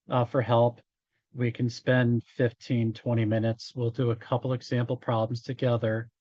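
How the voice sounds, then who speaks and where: A male instructor lectures informally in a slightly hoarse, mid-pitched voice. He moves slowly and haltingly through his explanation. The sound is dry and a little dull, like a lo-fi microphone. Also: darkish tone, no echo. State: hoarse after hours of talking. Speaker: college instructor. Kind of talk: informal statistics lecture, working through examples.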